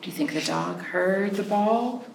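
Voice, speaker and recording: A woman hosting a storytime for young children, reading expressively with drawn-out phrases and pausing to put questions to her listeners. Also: mid-range voice, creaky. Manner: prompting listeners with questions and pauses. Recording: roomy, distant mic, faint noise.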